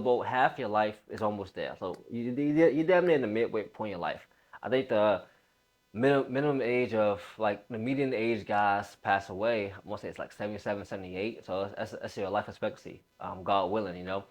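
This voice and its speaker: A man's voice: lowish voice, darkish tone. A man in his late twenties giving a casual motivational talk as a fitness coach, emphatic, repeating phrases and using informal bro slang.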